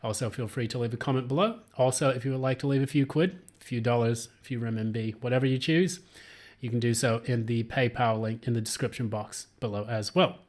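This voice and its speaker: Guy reading tarot cards, kinda hoarse, rambles with lots of ums, middle-pitched voice. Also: fairly even intonation, fairly quick, darkish tone. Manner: rambling, many filler words and restarts. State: hoarse, voice still recovering. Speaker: tarot reader. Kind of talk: casual solo tarot reading.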